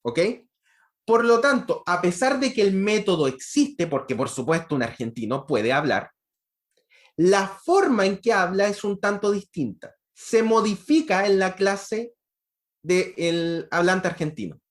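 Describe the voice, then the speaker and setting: A man's voice: high-pitched, animated, measured pace. A man giving an online university lecture on programming, explaining step by step and checking in with frequent rhetorical questions.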